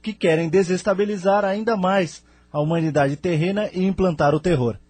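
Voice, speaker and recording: A man's voice: sits high, normal speed, darkish tone, no room sound. A man with a Brazilian accent playing a venerable, resolute spirit leader in an audio drama, grave and formal in manner. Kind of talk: scripted audio drama dialogue.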